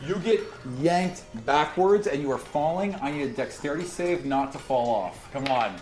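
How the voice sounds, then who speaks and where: A man's voice: on the high side, lively intonation, normal speed, darkish tone. A man running a tabletop role-playing game, narrating off the cuff in a casual, sweary way. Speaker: game master. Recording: echoey room with music behind. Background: music.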